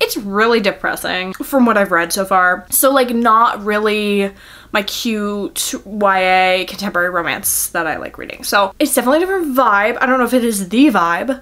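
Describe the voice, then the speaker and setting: A woman's voice: mid-range voice, fairly bright tone. A young woman talking casually as a book vlogger, in a rambling, self-deprecating, giggly manner. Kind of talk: casual chatty vlog.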